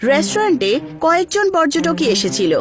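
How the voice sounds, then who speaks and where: A woman's voice: very high voice, sing-song, brisk. A woman narrating a scripted cartoon story as its storyteller. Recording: music behind the voice.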